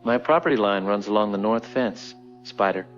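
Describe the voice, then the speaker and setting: A man's voice: on the low side, normal speed, faint music behind, natural voice. A man playing a sleepless, spooked local resident calling a radio show in an audio drama, over dull lo-fi audio. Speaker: radio caller.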